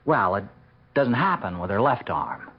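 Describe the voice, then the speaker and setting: A nervous, embarrassed husband in a vintage radio play. His voice is husky and swings about in pitch as he stammers and hesitates. The sound is dull and lo-fi, like an old broadcast. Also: sing-song, a little slow, heavily acted. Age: 40s. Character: embarrassed, anxious forty-year-old husband confiding in his doctor. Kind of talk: 1970s radio drama acting.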